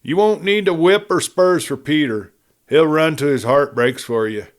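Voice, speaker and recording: A man's voice: fairly high pitch, normal speed, voice pushed up, natural voice. A man voicing an earnest, trusting young woman who speaks frankly and affectionately in a western audiobook. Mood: eager, earnest. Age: young adult. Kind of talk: audiobook character reading of a western.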